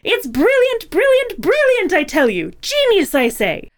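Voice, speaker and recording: A woman's voice: very high voice, huge pitch swings, measured pace, pitched above the performer's own voice, exaggerated voice. A woman voicing a gleeful, scheming cartoon villainess in a theatrical, boastful, sassy manner. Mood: gloating, manic glee. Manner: theatrical, gloating.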